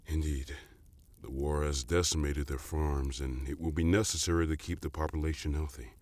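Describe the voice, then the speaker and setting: A seasoned, benevolent fantasy statesman, played by a man, speaks in an extremely deep voice with a dry, close sound. He is calm and conciliatory, with gentle amusement. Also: moderate pace, no room sound. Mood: reasonable. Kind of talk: dramatic audio roleplay acting.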